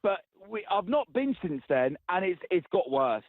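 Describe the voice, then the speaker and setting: A man's voice: sits high, very animated, normal speed, no echo. A man calling a radio phone-in from his car, exasperated and repeating himself. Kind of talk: casual phone-in call. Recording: tinny phone line.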